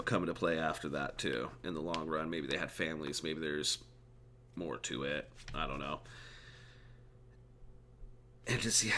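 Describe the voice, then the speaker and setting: A man's voice: very deep voice, pushed and bright, slight background noise. A man who is mildly drunk, reading a book aloud informally and stumbling over words, with casual commentary.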